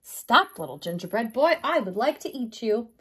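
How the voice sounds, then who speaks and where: A woman's voice: fairly high pitch, very animated, normal speed, a bit bright, slightly rough, full character voice. A woman voicing a hungry, coaxing female cow from a storybook.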